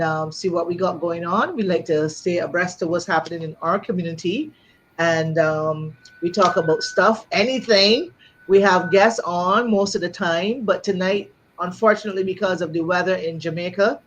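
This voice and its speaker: A woman's voice: fairly low pitch, a little hiss or hum, rough recording. Chatty woman hosting a live show. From Barbados. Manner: chatty and easygoing, rambling with many fillers. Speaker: podcast host. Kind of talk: casual live-stream chat.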